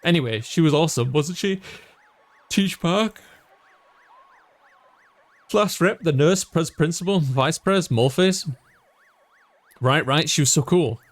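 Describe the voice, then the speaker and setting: A man voicing an excitable, gossipy high school student in a visual novel, chatty and rambling. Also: slow pace, pauses often. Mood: excited, admiring.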